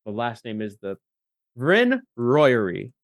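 A man chatting casually as a podcast guest, animated and thinking aloud as he goes. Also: mid-range voice, slow pace, no room sound, studio quality. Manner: animated, thinks aloud about character voices. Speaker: podcast guest, tabletop role-player. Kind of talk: casual chat.

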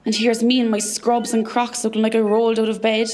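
An actress with an Irish accent playing a sassy, gossipy, self-mocking hospital worker in a comedy radio play. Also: female voice, on the high side, little pitch movement, brisk, darkish tone, slight background noise, natural voice. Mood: sassy banter. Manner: sassy, dramatic.

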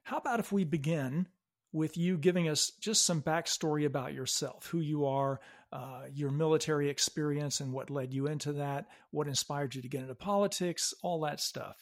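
A man hosting a podcast, introducing his guest and asking questions in a measured, slightly hesitant way. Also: fairly high pitch. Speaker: podcast host. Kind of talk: interview hosting.

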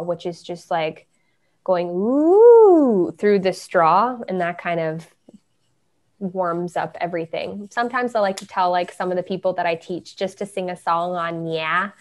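A young woman, a stage performer, answering interview questions in a chatty way, with frequent fillers and restarts. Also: on the low side. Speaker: guest, Broadway performer.

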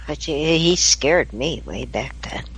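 Woman on a podcast, talks real slow, thoughtful and measured. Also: sits low, lively intonation, a little hiss or hum. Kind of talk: casual podcast chat.

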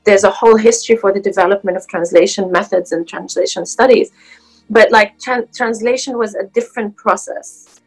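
A woman's voice: mid-range voice, fairly even intonation, fairly quick, husky, soft background music, poor audio. A woman whose first language is Arabic, speaking as a guest scholar in a casual academic conversation, with many fillers and restarts.

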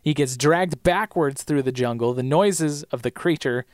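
A man's voice: on the high side, moderate pace, no room sound, clean studio sound. A man co-hosting a podcast, recapping scenes in a casual, chatty way, laughing often and saying his voice is going. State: losing his voice. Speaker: podcast co-host.

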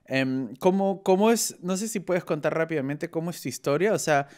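A woman's voice: low voice, moderate pace, darkish tone. A woman hosting a podcast, asking hesitant questions with many restarts. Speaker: podcast host, interviewer. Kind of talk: podcast interview.

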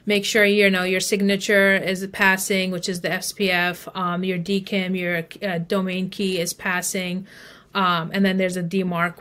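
A woman's voice: on the low side, a bit bright. A woman speaking as an expert guest in a conversational interview, explaining things step by step in an informal way, with plenty of "like" and "you know". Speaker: guest email marketing expert.

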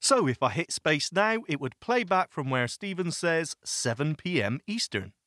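A man hosting an audio editing tutorial, explaining steps in a chatty, self-mocking way with animated asides. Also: on the high side, normal speed, no room sound, studio quality. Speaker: podcast host teaching audio editing. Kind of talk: screen-reader software tutorial.

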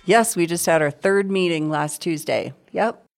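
A woman's voice: fairly low pitch, lively intonation, normal speed, creaky, soft background music. A woman in her sixties from South Dakota, a city council member answering interview questions in a friendly, chatty way. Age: 60s. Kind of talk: conversational interview.

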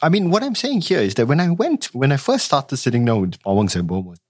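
A man's voice: mid-range voice, sing-song, fairly quick, darkish tone, dry recording, clean studio sound. A man from Singapore, an academic researcher answering interview questions thoughtfully, with frequent restarts. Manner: thoughtful, many restarts and hedging fillers.

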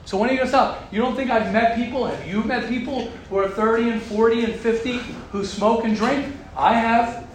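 A man teaching a group of young men, talking forcefully and repeating his points for emphasis. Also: extremely high pitch, steady tone, a little fast. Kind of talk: emphatic religious talk to a group. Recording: very roomy echo with faint background hum.

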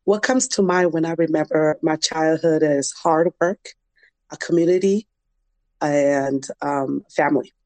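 A woman's voice: deep voice, measured pace, darkish tone, dry recording. A young adult woman, telling personal stories in a reflective, conversational interview style. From Liberian, grew up in a refugee camp in West Africa. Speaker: interview guest, dance program founder.

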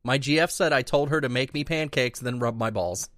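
A man's voice: medium pitch, a little fast, fairly bright tone, dry recording. A man co-hosting a podcast, reading out listener comments and adding dry wisecracks. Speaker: podcast co-host. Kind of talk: casual advice chat.

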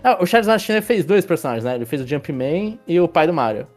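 A man's voice: fairly high pitch, sing-song, quick pace, soft background music. A man hosting a casual film review podcast, rambling with restarts and tag questions as he leads the talk. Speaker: podcast host.

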